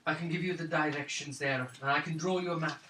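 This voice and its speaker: A man's voice: a little high, fairly even intonation, fast talker, sharp tone, voice pushed up. A man voicing an earnest, urgent elven woman in a tabletop fantasy game. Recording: roomy, distant, noisy tabletop recording.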